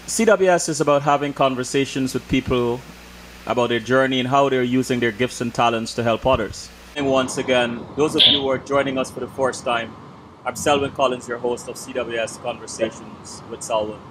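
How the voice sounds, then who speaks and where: A man's voice: mid-range voice, steady tone. Man hosting a formal interview. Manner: measured, formal introductions. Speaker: talk show host. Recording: noisy background hum.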